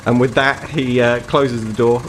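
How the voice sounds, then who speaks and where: A man's voice: mid-range voice, little pitch movement, normal speed, noisy background. A man narrating a tabletop game as game master, in a wry, descriptive storytelling manner.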